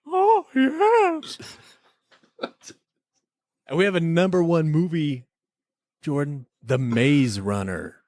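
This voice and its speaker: A man's voice: a little high, measured pace, a little mellow, no echo, clean studio sound. A man hosting a podcast, moving between casual banter and playful, mock-serious scripted presenting. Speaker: podcast host.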